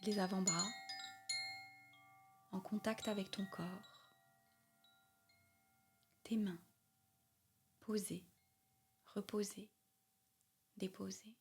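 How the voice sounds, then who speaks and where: A woman with a medium-pitched voice guides a relaxation session. She speaks soothingly and takes her time, letting phrases trail into soft breath. Music and chimes play clearly behind her. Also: steady tone, drawn-out words, long pauses. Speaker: yoga nidra guide. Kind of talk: guided meditation. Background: meditation music with chimes.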